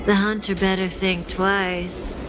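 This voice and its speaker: Slow-spoken, teasing villainess played by an actress over music. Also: female voice, mid-range voice, little pitch movement, natural voice. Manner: unhurried, teasing, taunting. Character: poised, teasing woman fugitive, a fatalistic villain. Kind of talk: scripted video game voice acting. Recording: loud music behind the voice.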